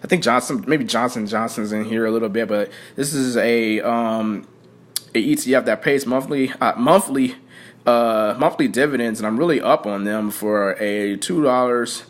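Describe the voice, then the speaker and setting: A young man in the middle of his pitch range talks loosely to his viewers, as if thinking aloud. He rambles, stacks up fillers and keeps restarting his sentences. The tone is plain and conversational. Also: moderate pace. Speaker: personal finance vlogger. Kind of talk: casual unscripted talk to viewers.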